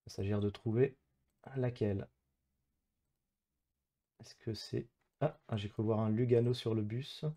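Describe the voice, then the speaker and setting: A man's voice: fairly low pitch, fairly even intonation, unhurried, long pauses, mellow. A man commentating casually on his own online geography game, thinking aloud in hesitant, piecemeal phrases as he plays. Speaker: gamer playing an online geography guessing match.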